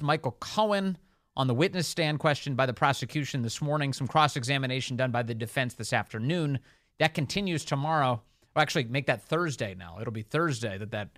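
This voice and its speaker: Man, radio talk host, bright punchy voice, slightly high pitch, dry close studio sound, conversational political commentary. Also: no echo.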